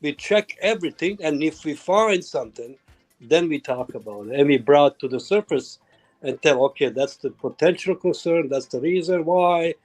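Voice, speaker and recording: Man, fairly high voice, halting phrasing, home inspector guest. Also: animated, a little mellow, faint music behind, rough recording. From former Yugoslavia. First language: Croatian. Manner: halting non-native phrasing. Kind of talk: casual interview.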